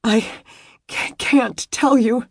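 Casting: panicked young heroine in an audiobook, high-pitched, choked with sobs, stuttering, dry close sound. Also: female voice, steady tone, slow, a little mellow, breathy edge, no echo, heavily acted. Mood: tearful, choked. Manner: stammering, choked, wailing. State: crying, breath hiccuping. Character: distraught young woman, sobbing and stammering in panic. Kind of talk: audiobook character acting.

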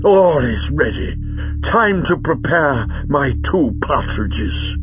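A man's voice: mid-range voice, sing-song, slow, long reverb tail, highs cut off, music in the background, voice pushed up. A man voicing a cruel, theatrical male villain in an audiobook, imperious, menacing and declamatory. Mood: gloating. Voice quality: hoarse with rage. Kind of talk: dramatic audiobook character voice.

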